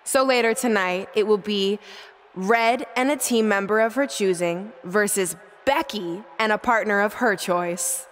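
A woman's voice: medium pitch, measured pace, a little hiss or hum, close to an everyday voice. A woman playing a self-assured, imperious general manager in a wrestling video game, making emphatic announcements. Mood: commanding. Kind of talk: video game acting, arena announcement on microphone.

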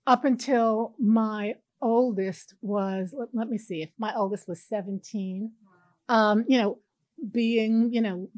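A woman's voice: fairly high pitch, fairly even intonation, takes it slowly, darkish tone, no room sound. An older woman, a guest, talking animatedly in a group chat, often restarting her words and laughing.

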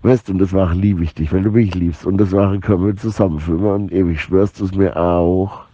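A man's voice: deep voice, fairly even intonation, fairly quick, mellow, dry recording. A middle-aged man, delivering a rambling, repetitive informal monologue in slangy language. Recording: dull lo-fi recording.

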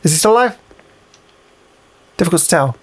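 A man's voice: on the high side, animated, brisk, slightly rough. A man giving casual, muttered, sweary commentary as he plays a game. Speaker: let's play host playing the game.

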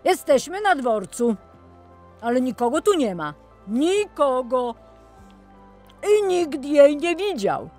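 A woman puts on a plucky young boy truck in a children's audio tale. The voice is raised and very lively, emphatic, and slow-paced over faint music. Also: high voice, voice pushed up, heavily acted. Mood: indignant, excited. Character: plucky, excitable young male talking vehicle. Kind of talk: children's audio story acting.

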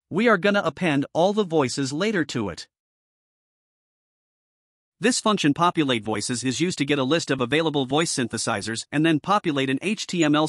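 Male tutorial narrator, slightly high-pitched, scripted instructional read, very dry sound. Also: fairly bright tone, no room sound. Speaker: programming tutorial presenter.

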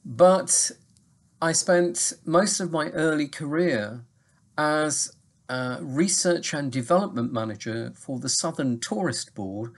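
A man, giving a recorded lecture from his home study to his university students in a measured, explanatory way. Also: medium pitch. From Manchester, England. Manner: measured, explanatory, lots of lists. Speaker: university English teacher. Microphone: webcam at desk.

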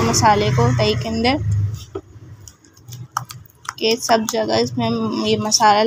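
Woman cooking host, even and homely delivery, phone-recorded in her kitchen with background hum. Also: a little high, rough recording. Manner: homely, repeats "ठीक है" and "ये देखें", explains patiently. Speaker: home cooking channel host. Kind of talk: step-by-step recipe narration. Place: home kitchen.

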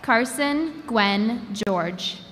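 A woman reading graduates' names one by one at a commencement ceremony, announcing each name with a measured pause through a podium microphone. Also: fairly high pitch, animated. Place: large indoor gymnasium. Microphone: podium microphone over a PA system. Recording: long hall reverb with crowd noise. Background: crowd ambience.

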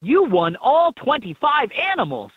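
A woman's voice: high voice, sing-song, measured pace, husky, dry recording, full character voice. A woman voicing an encouraging instructor in a children's animal game, giving scripted instructions in slightly dull game audio. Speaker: educational game voice.

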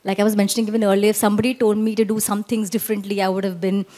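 A woman's voice: mid-range voice, little pitch movement, rapid-fire, warm tone. A woman in her forties with an Indian English accent, speaking as a panelist on a conference stage into a handheld mic, earnest and encouraging. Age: 40s. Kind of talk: panel discussion.

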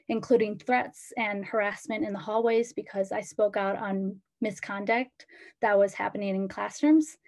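A teenage woman from Ecuador, a student panelist, giving earnest personal testimony over a video call, with frequent pauses. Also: mid-range voice, a little mellow.

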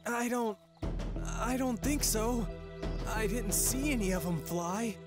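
An actor voicing a panicked, pleading traveling merchant who stammers, in a fantasy audio drama. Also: male voice, high voice, slow. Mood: anxious pleading. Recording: music behind the voice.

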